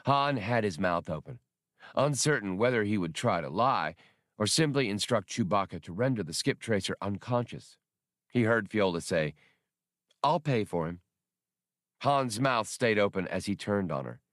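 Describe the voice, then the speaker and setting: Guy reading an adventure audiobook, lowish voice, really animated, dry close recording. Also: normal speed, no room sound. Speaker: audiobook narrator. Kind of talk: dramatic scripted narration of adventure fiction.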